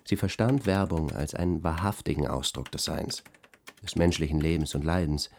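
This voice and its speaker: A man's voice: rumbling low, normal speed, darkish tone, slight background noise. An actor playing an earnest, jaded advertising man speaking solemnly and emphatically in a radio drama interview. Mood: solemn, reverent.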